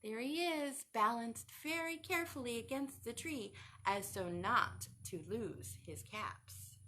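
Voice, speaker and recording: Woman, children's storytime reader, bright voice, unhurried pace, expressive, interactive questioning. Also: high voice. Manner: expressive, asks the listeners questions. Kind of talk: interactive picture book read-aloud for young children.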